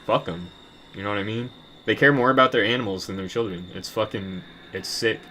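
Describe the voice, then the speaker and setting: Man, lowish voice, bitter profane rant, rambling with restarts. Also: brisk, sharp tone, a little hiss or hum. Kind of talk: casual rant to camera.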